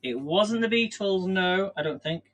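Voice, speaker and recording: A man's voice: sits high, expressive, measured pace, a bit bright, lo-fi sound. An English man hosting a casual live stream from his home kitchen, chatting and running a quiz while he bakes, rambling and self-deprecating with frequent laughs. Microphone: camera on a stand.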